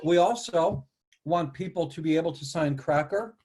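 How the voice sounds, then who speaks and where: A man's voice: fairly high pitch, normal speed, a little mellow. A man from the United States who is a behavior analyst, explaining ideas at length with asides in a casual panel discussion.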